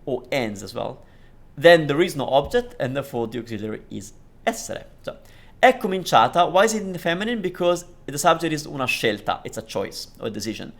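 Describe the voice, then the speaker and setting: Guy from italy teaching italian, gravelly voice, lots of um and you know. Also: on the high side, lively intonation, brisk, fairly bright tone. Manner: explains with many fillers and restarts, switching between English and Italian. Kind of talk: language lesson commentary.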